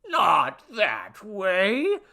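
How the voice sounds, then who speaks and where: A man voicing a pompous, irritable ancient Babylonian god in a story, with grandiose, formal declamation and a rusty, booming voice. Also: very animated, unhurried, gravelly, sharp tone, husky, exaggerated voice. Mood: enraged. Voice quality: rusty booming foghorn bass.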